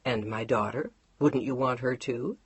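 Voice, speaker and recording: A reader voicing a gentle man speaking softly and affectionately, in an audiobook. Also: medium pitch, unhurried, slightly rough, no echo, pitched below the performer's own voice. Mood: tender. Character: gentle, tender older man driving.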